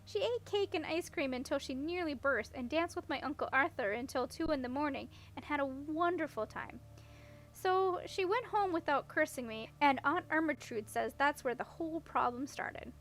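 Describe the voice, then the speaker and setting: A woman's voice: sits high, expressive, moderate pace, fairly bright tone, a little hiss or hum. A woman voicing an awkward, unlucky young princess in a storybook, earnest and rambling as she explains herself. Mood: earnest storytelling. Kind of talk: audiobook character voice.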